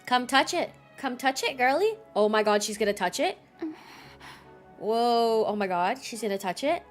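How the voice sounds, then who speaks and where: Woman game streamer, fairly high voice, casual, excitable, repetitive reactions, faint music underneath. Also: moderate pace. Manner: excitable, repeats phrases. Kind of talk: casual livestream reaction commentary.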